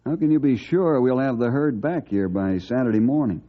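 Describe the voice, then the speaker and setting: Man as a steady young ranger, muffled vintage sound. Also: mid-range voice, natural voice. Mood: concerned. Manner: earnest, polite, measured. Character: young, steady, respectful male ranger hero. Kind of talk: old-time radio drama acting. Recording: dull old radio recording.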